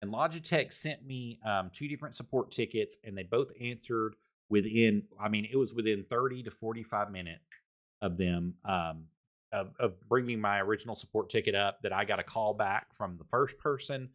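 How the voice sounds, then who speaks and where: A man's voice: lowish voice, moderate pace. Rambling man reviewing a microphone. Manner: rambling, repeats words, loud voice. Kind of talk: casual product review. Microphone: desktop condenser microphone.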